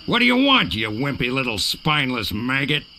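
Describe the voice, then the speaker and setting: A man voicing a gruff, crusty sword trainer in a cartoonish adventure game, barking, bragging and contemptuous. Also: medium pitch, big pitch swings, moderate pace, sharp tone, a little hiss or hum. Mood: scornful. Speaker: sword fighting coach.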